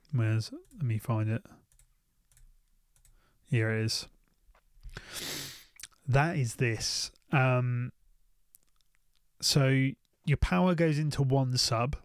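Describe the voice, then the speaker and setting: A man's voice: mid-range voice, vocal fry, dry recording, studio quality. A British man giving casual, unscripted commentary as a gear reviewer, thinking aloud and often repeating his phrases.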